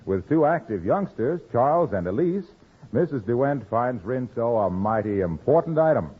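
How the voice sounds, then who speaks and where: A man's voice: mid-range voice, sing-song, normal speed, husky. A man working as a 1950s radio announcer, with polished, emphatic sales delivery. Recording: tinny old radio recording.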